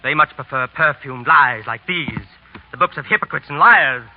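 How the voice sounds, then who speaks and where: An actor playing a young, starving French painter, complaining bitterly and theatrically in 1930s radio style. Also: male voice, mid-range voice, normal speed, lightly acted. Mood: bitter scorn. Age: young adult. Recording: lo-fi noisy 1930s radio broadcast.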